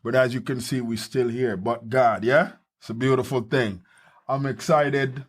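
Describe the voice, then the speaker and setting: A man hosting a podcast in a studio, talking casually and energetically, often repeating quick words of agreement. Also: medium pitch, fairly even intonation. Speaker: podcast host. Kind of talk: casual chat.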